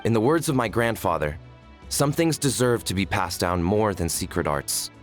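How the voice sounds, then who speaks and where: A man's voice: on the low side, lively intonation, moderate pace, faint music behind, natural voice. A man playing an earnest, deferential villager in a video game, hesitant and polite.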